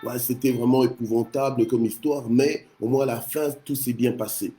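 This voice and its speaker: Mellow-voiced male preacher from Congo. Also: medium pitch, steady tone, moderate pace, faint music behind. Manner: punctuates speech with short exclamations of praise. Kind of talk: devotional sermon on scripture.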